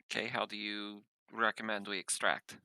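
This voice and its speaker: Low-pitched man with a very sharp edge. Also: little pitch movement, moderate pace, smooth, no echo, rough recording. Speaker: tabletop roleplaying player. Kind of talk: casual game chat.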